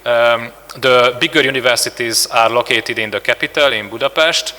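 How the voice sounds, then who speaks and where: Halting Hungarian-accented man in a hall. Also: mid-range voice, fairly even intonation, pushed and bright. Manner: halting, many filler sounds and restarts. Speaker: conference speaker. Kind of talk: presenting slides at a conference.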